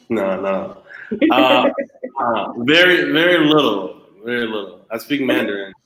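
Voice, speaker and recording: A man's voice: medium pitch, very animated, unhurried, vocal fry, pushed and bright. A man explaining things in a casual chat, in a hesitant, piecemeal way. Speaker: panel guest. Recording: echoey room.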